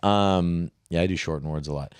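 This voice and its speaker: A man with a fairly low voice co-hosts a podcast in relaxed, wandering chat. He circles his points with lots of "like"s and restarts sentences. The sound is dry and close. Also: moderate pace, clear, resonant tone, dry recording. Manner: rambling, with many "like"s and trailing restarts. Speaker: podcast co-host. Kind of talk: casual chat about Bible stories.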